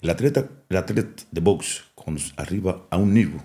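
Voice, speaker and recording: Middle-aged man with a thick Cuban accent, very low, mellow voice, halting speech with restarts and repeated words. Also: expressive. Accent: Cuban Spanish. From Cuba. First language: Spanish. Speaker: national boxing coach. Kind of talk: interview, mixing French and Spanish.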